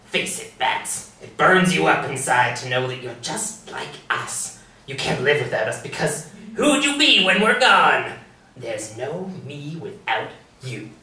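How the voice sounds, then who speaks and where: A man's voice: medium pitch, sing-song, measured pace, pushed and bright, exaggerated voice. A man voicing a manic, taunting male villain clown at a table reading, breaking into sudden shrieking laughs. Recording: echoey room from a distance.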